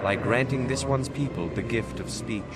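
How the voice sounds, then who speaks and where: An actor playing a serene, devout alien preacher in a video game, stilted, humble and ceremonious. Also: male voice, medium pitch, moderate pace, natural voice. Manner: ceremonious, stilted, self-effacing. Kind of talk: video game character acting. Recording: loud background music under the dialogue.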